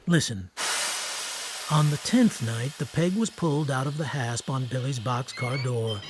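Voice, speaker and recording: A man narrating a radio drama from a literary script in a terse, deliberate, matter-of-fact way. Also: medium pitch. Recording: faint ambient noise behind.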